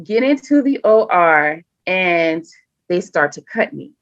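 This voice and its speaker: A woman guest on a podcast, telling a personal story in an animated, emphatic way and often acting out what people said. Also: fairly low pitch, slow pace, dry recording. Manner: animated, emphatic, acts out quoted speech. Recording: dull lo-fi sound.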